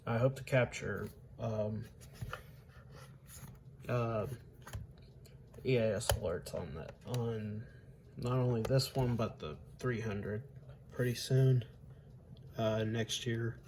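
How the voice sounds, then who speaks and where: A man aged twenty-one giving a casual, unscripted demonstration as a hobbyist, halting and pausing mid-sentence. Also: mid-range voice, fairly even intonation. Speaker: hobbyist showing weather radios. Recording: home recording with faint background noise.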